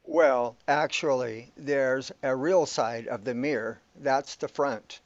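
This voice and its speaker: Man as slow, explanatory cosmic companion. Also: mid-range voice, dry recording, highs cut off. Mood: matter-of-fact. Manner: deliberate, explanatory. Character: matter-of-fact male companion explaining the cosmos. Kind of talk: radio play acting.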